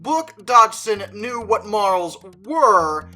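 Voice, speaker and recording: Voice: mid-range voice, sing-song, takes it slowly, sharp tone, soft background music. A reviewer giving opinionated movie commentary in a conversational, emphatic way. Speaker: video essayist.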